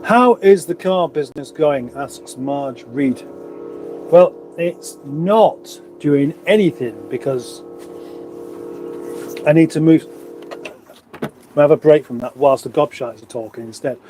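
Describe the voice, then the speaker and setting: A man's voice: a little high, takes it slowly, long pauses, music in the background. A man with a runny nose, hosting a casual livestream, rambling chattily with wry asides as he reads out comments. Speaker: livestream host. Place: garage.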